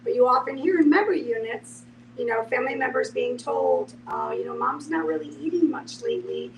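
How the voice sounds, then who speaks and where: High-voiced woman explaining, distant in echoey room. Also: wildly swooping pitch, fairly quick. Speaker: end-of-life educator in a group discussion. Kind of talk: conversational explanation in a group discussion. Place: echoey room, away from the microphone. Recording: roomy, distant microphone, clear background noise.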